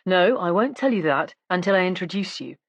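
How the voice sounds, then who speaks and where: A woman narrator takes the part of a composed police inspector, a man of courteous discretion. The delivery is measured and understated, pitched on the low side and recorded dry. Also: animated, dry recording, dull audio. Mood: calm, discreet.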